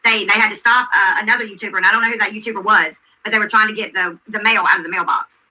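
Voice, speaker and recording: A woman's voice: medium pitch, steady tone, racing, sharp tone, rough recording. A woman hosting a livestream, commenting on a video and answering chat in an insistent way, repeating herself and asking questions. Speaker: livestream host.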